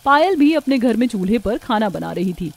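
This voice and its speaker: A woman's voice: a little high, sing-song, fairly quick, vocal fry. A woman reading scripted narration for a cartoon village story. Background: background music.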